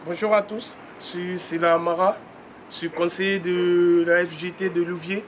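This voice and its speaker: A man working as a youth housing advisor speaks in an interview, listing ideas with short pauses. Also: sits high, level tone, slight background noise.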